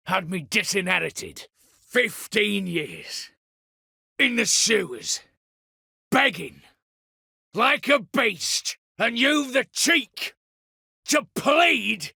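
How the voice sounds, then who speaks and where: Gravelly, scheming heist leader. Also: male voice, high-pitched, pushed and bright, dry recording, studio quality, full character voice. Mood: bitter, furious. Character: scheming, vengeful disinherited nobleman turned heist leader. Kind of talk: video game character dialogue.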